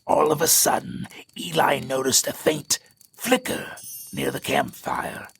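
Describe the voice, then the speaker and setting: A man narrates a scripted tale in a very creaky, gravelly voice. He takes his time, and his pitch sweeps widely as he tells the story. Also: huge pitch swings, measured pace, fairly bright tone, a little hiss or hum.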